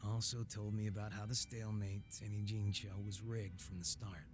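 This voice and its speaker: An actor playing a cocky, laid-back former gang boss in a video game, teasing and sardonic with a languid drawl and casual swearing. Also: male voice, fairly low pitch, level tone, normal speed, music in the background, close to an everyday voice. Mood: jaded. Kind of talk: English video game voice acting.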